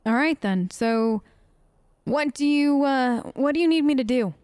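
A woman's voice: high-pitched, measured pace, a little mellow, smooth, dry recording. A woman hosting a let's play, improvising in-character replies and musing commentary in a conversational way.

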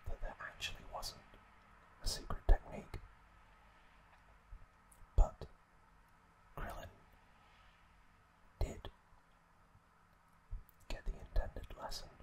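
A man narrating trivia in a hushed ASMR style, unhurried and full of pauses. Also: poor audio. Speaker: host.

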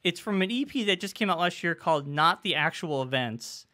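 Lively, bright-voiced male podcast host. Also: sits high, a little fast. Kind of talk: casual podcast discussion.

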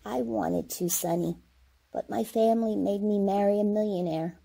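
Casting: actress as crotchety grandma, husky and gravelly, blunt, for radio comedy. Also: female voice, medium pitch, fairly even intonation, normal speed, dark tone. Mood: wistful, blunt. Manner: querulous, blunt. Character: stubborn, crotchety, wistful old grandmother. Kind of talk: old-time radio comedy acting.

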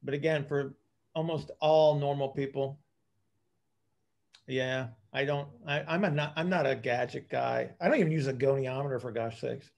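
A man coaching on a group video call, explaining casually and haltingly, often repeating his phrases. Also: mid-range voice, fairly even intonation, gravelly.

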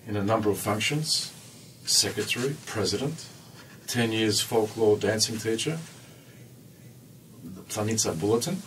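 A man's voice: lowish voice, expressive, a little slow, long pauses, a bit bright. A man conducting an interview in a small radio studio, asking short, prepared questions. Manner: short, prepared questions with brief listening murmurs. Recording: distant from the mic, faint hiss.

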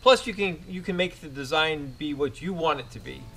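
A man's voice: a little high, moderate pace. Young male DIY host, easygoing and practical, talking through the steps on camera with background music behind him. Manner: relaxed, practical, explains step by step with small restarts. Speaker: DIY home improvement host. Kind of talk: casual how-to narration while working. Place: outdoors on a driveway.

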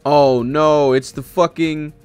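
A man's voice: a little high, slow, clear, resonant tone, no room sound, soft background music. A young American man giving casual, slangy, profane live commentary as he reads along. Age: young adult. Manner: animated, slangy, profane reactions. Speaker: streamer reacting to a manga. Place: home studio room with streaming setup. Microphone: desk microphone close by.

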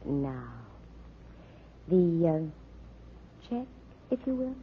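A tough American woman private eye in 1940s radio drama. Her voice is husky and her delivery brisk, dry and full of sardonic edge. The sound is muffled, like an old broadcast. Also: fairly low pitch, very animated, full character voice. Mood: firm, brisk. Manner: brisk, sardonic, quick with wisecracks. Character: wisecracking, tough, dry-witted female private detective. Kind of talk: 1940s radio detective acting.

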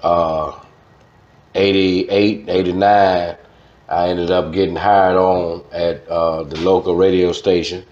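A man's voice: low-pitched, little pitch movement, slow pace, darkish tone. A man in his fifties with a Southern Louisiana accent, telling stories casually as a radio veteran being interviewed. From Baton Rouge, Louisiana. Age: 50s. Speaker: radio host, interview guest. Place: studio desk, indoors. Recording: slightly dull, echoey studio recording.

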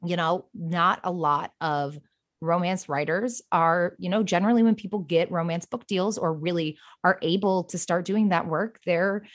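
A woman's voice: on the low side, steady tone, normal speed. Chatty woman in a casual interview. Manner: chatty, illustrates points with mimicked quotes. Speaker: podcast guest, co-creator and romance writer.

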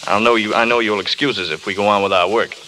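An actor playing a charming, flippant, hard-drinking film star in a wry, teasing, theatrical 1930s radio drama style. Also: male voice, fairly low pitch, fairly even intonation, quick pace, sharp tone. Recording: hissy, crackly old radio broadcast.

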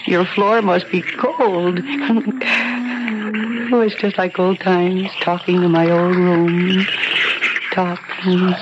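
A wistful, weary young housewife speaks with polite hesitation and nostalgia. It is 1970s radio drama, dulled and clearly noisy. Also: female voice, lowish voice, steady tone, slow, long pauses. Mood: dreamy, tender. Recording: muffled, noisy old radio recording.

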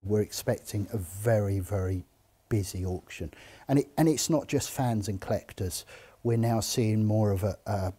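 A middle-aged man who speaks for an auction house, answering interview questions with enthusiasm and measured pauses, in an indoor showroom. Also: fairly low pitch, dark tone, a little hiss or hum. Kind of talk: interview answers about collectibles.

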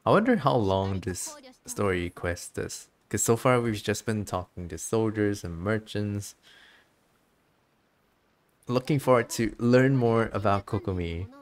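Low-voiced man, musing game streamer. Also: a little slow. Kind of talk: casual game commentary with dialogue read aloud.

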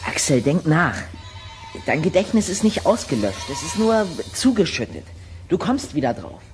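A man's voice: a little high, big pitch swings, moderate pace, faint music behind. A male actor playing a bold, wry boy detective in a children's audio drama, with a theatrical manner. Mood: urging.

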